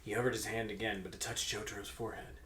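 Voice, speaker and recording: A man's voice: fairly low pitch, flat delivery, quick pace, pushed and bright. A young man reading fan fiction aloud as narrator, with casual joking asides. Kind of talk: comic storybook-style narration with casual banter. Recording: echoey room.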